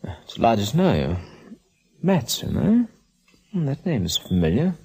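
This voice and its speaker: A needling, suspicious gentleman with a gravelly edge and very animated pitch. He insinuates rather than accuses, in the manner of a 1940s radio mystery. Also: measured pace, exaggerated voice. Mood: suspicious, sly. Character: suspicious, needling gentleman, nephew of a wealthy household. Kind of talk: 1940s radio detective acting. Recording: vintage 1940s radio recording.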